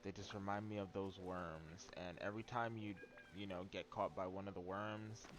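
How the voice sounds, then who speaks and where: A man's voice: deep voice, fairly even intonation, normal speed. A man doing casual, rambling and playful live-stream commentary while he plays a video game. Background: faint game music.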